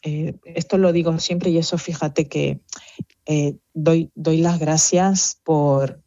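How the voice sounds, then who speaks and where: A middle-aged woman with a Venezuelan accent, speaking thoughtfully as an interview guest, pausing with fillers as she reflects. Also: deep voice, fairly even intonation, dark tone, no room sound, highs cut off. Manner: reflective, earnest, with fillers and restarts. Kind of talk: conversational interview.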